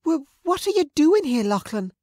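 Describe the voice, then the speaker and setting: A protective woman as acted by an audiobook narrator, her voice lifted high. She speaks earnestly in old-fashioned dialect, like a mother in a historical romance, recorded close and dry. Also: expressive, normal speed, dark tone, no room sound, lightly acted. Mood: alarmed, defiant. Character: anxious Scottish Highland woman, protective mother.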